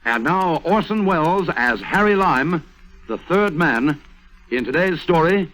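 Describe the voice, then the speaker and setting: A man's voice: a little high, expressive, unhurried, low-quality recording. A man announcing an old-time radio show in a formal, declamatory, scripted style. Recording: vintage radio recording with loud music. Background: loud zither music.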